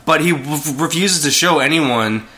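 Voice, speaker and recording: A man's voice: fairly high pitch, unhurried, sharp tone. A man co-hosting a casual movie chat, rambling with lots of fillers and restarts. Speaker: podcast co-host.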